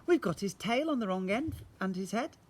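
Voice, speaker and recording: A man's voice: high voice, animated, normal speed, voice pushed up. A man voicing a playful, mischievous little storybook skeleton in an eager sing-song way.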